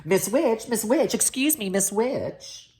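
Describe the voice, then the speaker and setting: A woman's voice: fairly high pitch, animated, takes it slowly, a little mellow, a little hiss or hum, voice pushed up. A woman voicing a hapless young storybook prince, earnest, polite and flustered, often pleading. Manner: polite, flustered, repeats himself.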